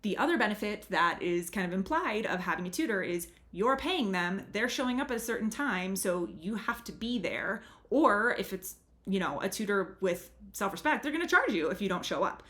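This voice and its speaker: An earnest language tutor from the United States talks casually to her viewers. Her voice sits at an everyday middle pitch, and her explanations are friendly and a little rambling. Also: expressive, fairly quick, fairly bright tone. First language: English. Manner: chatty and earnest, leans on "really" and "super". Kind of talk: casual advice talk to camera.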